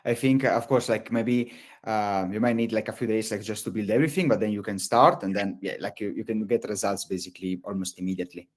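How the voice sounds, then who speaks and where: A man's voice: lowish voice, quick pace. Italian-accented man presenting with many fillers. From Italy. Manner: rambling, heavy use of fillers and restarts. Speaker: webinar presenter. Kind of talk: live webinar presentation. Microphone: video call.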